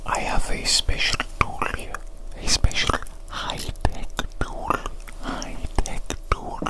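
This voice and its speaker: A woman whispering softly and breathily in an ASMR medical roleplay as a doctor, soothing and repeating short phrases. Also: slow. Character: evil doctor tending a big creature.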